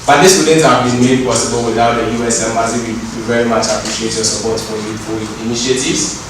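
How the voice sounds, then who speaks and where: A man's voice: medium pitch, fairly even intonation, fast talker. A man with a Ghanaian accent, from Ghana, facilitating a stakeholders meeting and explaining things in a welcoming way. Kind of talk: addressing a meeting. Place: meeting room. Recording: echoey room, distant mic, background noise.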